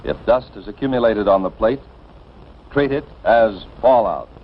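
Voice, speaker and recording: An American man reading scripted 1950s instructional film narration in an authoritative, deliberate manner, addressing the listener directly and emphatically. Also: on the low side, slight background noise. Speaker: narrator of civil defense educational film. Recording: dull band-limited vintage film soundtrack.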